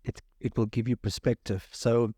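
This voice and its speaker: Relaxed middle-aged Southern African man, mellow voice. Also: medium pitch, moderate pace, breathy edge, no echo, clean studio sound. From Cape Town and Namibia. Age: 40s or 50s. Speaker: podcast guest, sound engineer. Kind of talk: casual autobiographical storytelling.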